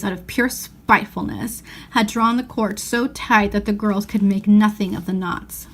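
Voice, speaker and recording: A woman reading a children's novel aloud as an expressive storyteller. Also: medium pitch. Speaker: storybook reader.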